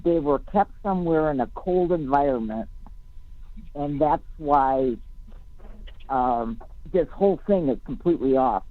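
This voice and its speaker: A man talking in a conference call discussion, explaining things in a hesitant, deliberate way. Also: fairly high pitch, lively intonation, dry recording. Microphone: phone. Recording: phone-like narrowband conference call.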